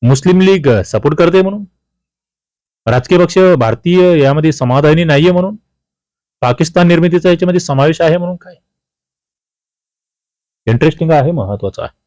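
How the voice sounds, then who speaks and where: A man's voice: on the high side, expressive, fairly quick, pauses often, a little mellow, no echo. A man with a Maharashtrian accent teaching an exam-prep history quiz, addressing students emphatically, repeating key questions and answers with colloquial asides. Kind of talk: quiz-style history lesson, talking to students.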